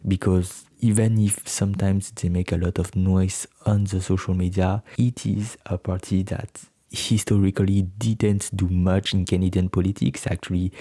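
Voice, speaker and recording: A man with a French accent addresses his channel's viewers in English. His voice is very low and flat, with little melody. He sounds earnest and careful, stops often for fillers, and is recorded dry. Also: no room sound. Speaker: channel host. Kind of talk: solo explainer to camera.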